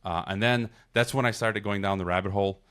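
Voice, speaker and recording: A man's voice: fairly low pitch, brisk, fairly bright tone, clean tone. A middle-aged man from Chicago, a podcast guest, talking casually in a rambling, digressive way with many restarts. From Chicago, United States. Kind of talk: casual interview conversation.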